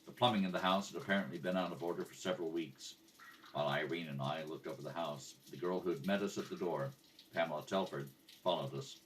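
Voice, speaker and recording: Low-voiced deadpan police detective played by a man. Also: quick pace, close to an everyday voice. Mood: matter-of-fact. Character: calm, matter-of-fact male police detective, patient with children. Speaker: police detective in a radio play rehearsal. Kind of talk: 1950s radio detective drama, clipped narration and dialogue. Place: kitchen at home. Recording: homemade, distant mic with background noise.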